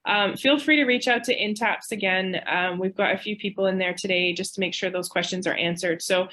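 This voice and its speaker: A woman's voice: mid-range voice, steady tone, a little fast, sharp tone. A woman admissions officer losing her voice, giving webinar advice in a chatty, informal way. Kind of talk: webinar presentation. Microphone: video call.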